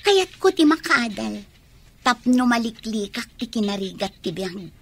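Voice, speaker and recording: A woman's voice: fairly high pitch, big pitch swings, a little slow, breathy edge, heavily acted. A woman acting in a radio drama as a brazen, scheming young gold-digger, sly and theatrical.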